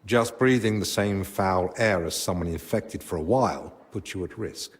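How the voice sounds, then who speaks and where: An actor playing a learned royal physician in a medieval game drama, speaking in a measured, diplomatic, educated way. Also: male voice, deep voice. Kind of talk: dramatic video game cutscene acting.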